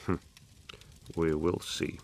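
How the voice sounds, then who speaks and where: An actor playing a bitter, weary resistance fighter in a game, speaking in a sardonic, guarded way. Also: male voice, takes it slowly, darkish tone, natural voice. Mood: weary, resigned. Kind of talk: scripted military game dialogue.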